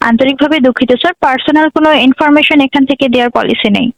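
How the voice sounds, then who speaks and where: Young bangladeshi lady doing call center talk, high voice, quick, polite n scripted. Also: no echo, highs cut off. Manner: polite, formulaic. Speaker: customer service call agent. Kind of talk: scripted, formal phone customer service.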